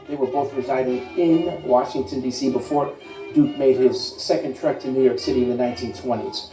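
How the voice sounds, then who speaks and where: A man with a fairly high, mellow voice gives an informal history talk, rephrasing as he explains. He sounds roomy and echoey, with music playing behind him. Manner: explanatory, repeats and rephrases as he goes. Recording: echoey room, distant mic, music underneath.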